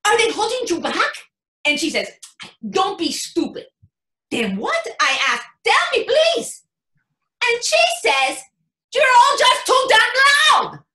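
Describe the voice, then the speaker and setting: A brash, exasperated mother played by a woman telling a story on stage. Her bright voice leaps around in pitch with punchy, animated delivery. It is recorded in an echoey room. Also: slow, heavily acted. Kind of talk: readers theatre monologue.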